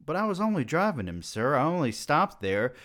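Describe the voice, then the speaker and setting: A man's voice: fairly high pitch, sing-song, fairly quick, a bit bright, voice pushed up. A man voicing a nervous young male college student who stammers and pleads deferentially. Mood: pleading.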